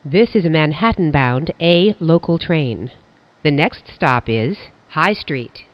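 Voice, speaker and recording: A train announcer reading scripted station announcements in a formal, measured, pieced-together way. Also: medium pitch, animated, muffled recording.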